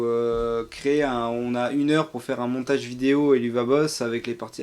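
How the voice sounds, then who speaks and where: A young man co-hosting a casual live stream, hesitant and rambling as he thinks aloud. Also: medium pitch. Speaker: streamer, co-host.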